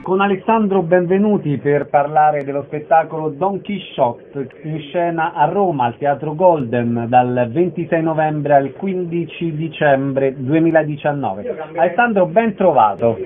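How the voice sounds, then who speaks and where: A man's voice: fairly high pitch, lively intonation, measured pace, hardly any pauses, soft background music. A man hosting a radio interview, presenting theatre guests and listings. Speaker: radio host. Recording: narrow, phone-like radio sound.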